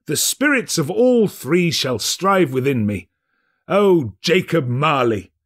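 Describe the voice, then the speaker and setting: A man voicing a giddy, overjoyed old miser reformed overnight, excitable, breathless and full of exclamations, in a storybook reading. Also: fairly high pitch, slow pace, voice pushed up, full character voice. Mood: fervent joy.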